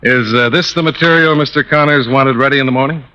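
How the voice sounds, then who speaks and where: A man's voice: mid-range voice, fast, sharp tone, lo-fi sound, lightly acted. An actor playing a cool, probing male insurance investigator in old-time radio style, with an American accent and clipped, dry, questioning delivery on an old recording. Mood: wry, suspicious. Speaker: actor playing the lead in a radio detective drama. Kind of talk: old-time radio detective acting and first-person narration.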